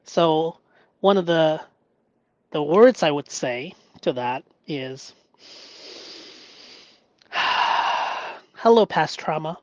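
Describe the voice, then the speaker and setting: A man from Hong Kong whose first language is Cantonese, talking through his past in a halting, reflective monologue, often checking in with "right?". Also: a little high, dry recording, highs cut off. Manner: halting, searching for words, often asks "right?". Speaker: vlogger.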